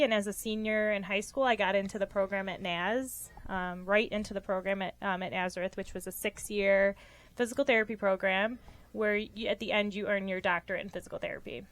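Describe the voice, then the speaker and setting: A young woman from Rochester answers interview questions in an earnest, conversational way. She fills her pauses with frequent ums and sits at a medium pitch. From Rochester, New York. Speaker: guest physical therapist.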